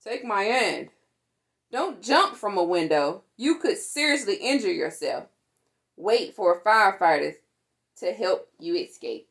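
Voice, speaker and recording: A woman reading a children's safety book aloud in an animated, instructive manner. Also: mid-range voice, a little slow, vocal fry. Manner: animated, instructive, acts out sound words. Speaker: storytime reader.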